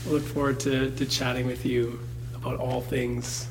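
A man's voice: mid-range voice, flat delivery, unhurried, noisy background. A man hosting a podcast, who welcomes his guest in an upbeat, enthusiastic way and uses plenty of fillers. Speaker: podcast host. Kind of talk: podcast interview.

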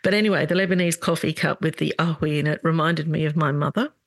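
Gravelly-voiced woman podcasting. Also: low voice, fairly even intonation, no room sound, clean studio sound. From Australia. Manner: earnest, indignant, halting restarts. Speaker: podcast host. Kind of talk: solo commentary podcast.

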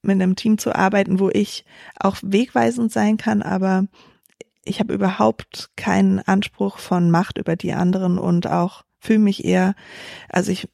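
Young woman founder, dark mellow voice, dry close sound, thinks out loud with lots of fillers. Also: mid-range voice, little pitch movement, moderate pace, vocal fry, no echo. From Germany. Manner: thoughtful, many fillers and restarts. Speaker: startup founder, guest. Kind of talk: reflective interview answers.

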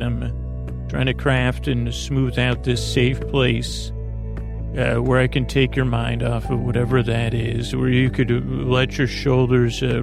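A man with a creaky voice hosting a sleep podcast, soothing and meandering, halting as he wanders off on gently absurd, self-deprecating tangents. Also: mid-range voice. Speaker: host of a bedtime sleep podcast. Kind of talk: rambling, soothing bedtime monologue.

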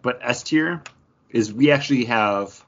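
A man's voice: mid-range voice, a little slow, a little hiss or hum. An American man chatting casually as a podcast co-host. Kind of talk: casual chat. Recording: dull lo-fi voice recording.

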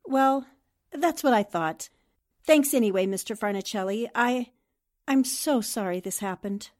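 A woman playing a clever, confident newspaper reporter in a 1940s-style radio drama, poised and deliberate. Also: on the high side, lively intonation, a little mellow. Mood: sympathetic, probing.

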